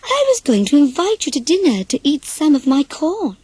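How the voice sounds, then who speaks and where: A woman voicing a wise, patient little storybook hen who speaks in a polite, knowing, gently coaxing way. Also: high-pitched, sing-song, normal speed, no echo, pitched above the performer's own voice. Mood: knowing sympathy.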